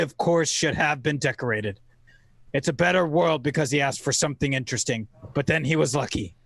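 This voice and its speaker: A man giving theatrical scripted narration in an old-time radio manner, oratorical and wry, with deliberate phrasing. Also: fairly high pitch, gravelly, a bit bright, no echo.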